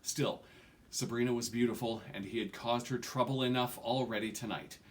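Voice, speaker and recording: A man's voice: medium pitch, fairly even intonation, moderate pace. A man in his forties reading a fantasy novel aloud with dramatic emphasis and the odd stumble, recorded at a desk at home. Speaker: reader of an audiobook. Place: small furnished room at home, indoors.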